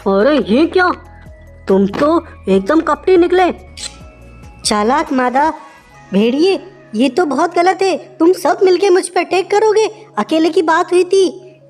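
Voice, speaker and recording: A woman's voice: very high-pitched, expressive, slow pace, a little mellow, faint music behind, pitched above the performer's own voice, full character voice. A woman voicing a proud, cocky male bull in a children's animal story, speaking emphatically. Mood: shocked. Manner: scornful, emphatic.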